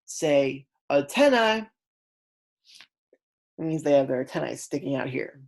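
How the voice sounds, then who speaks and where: Low-voiced, slow-paced woman teaching young children. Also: lively intonation, darkish tone. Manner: instructive, explains words simply, prompts and praises pupils. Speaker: kindergarten teacher reading aloud. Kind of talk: read-aloud lesson for young children.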